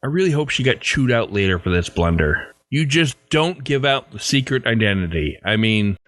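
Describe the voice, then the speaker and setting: A man's voice: medium pitch, animated, normal speed, fairly bright tone, no echo. A man hosting a podcast, giving conversational, partly scripted commentary on a close mic. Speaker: podcast host.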